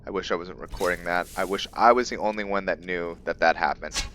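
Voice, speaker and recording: A man giving casual game commentary with roleplay lore, thinking aloud in a halting way. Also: low voice, a bit bright, slight background noise. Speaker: gamer hosting a roleplay let's play.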